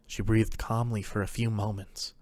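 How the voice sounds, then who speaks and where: A man's voice: fairly low pitch, little pitch movement, normal speed, darkish tone, dry recording, clean studio sound. A man reading scripted story narration as the narrator of a fan fiction tale.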